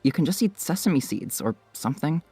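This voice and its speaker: A woman in an amateur anime fan dub playing a dismissive, snappy teenage girl with a husky voice. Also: sits low, animated, a little fast, warm tone, no echo, faint music behind, full character voice.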